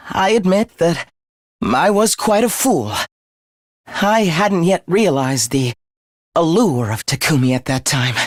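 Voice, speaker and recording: A man's voice: a little high, no room sound, clean studio sound. An actor voicing an obsessive young man in a video game, devoted to his comrade as to a god, with theatrical, intense, florid delivery. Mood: adoring, pleading. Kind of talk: English video game voice acting, dramatic monologue.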